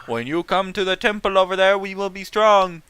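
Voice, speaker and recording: A man's voice: sits high, fairly even intonation, normal speed, pushed and bright, smooth, pitched above the performer's own voice. A man voicing awestruck ninjas from a fan fiction, urging on a chosen hero in a breathless, melodramatic way. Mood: awed.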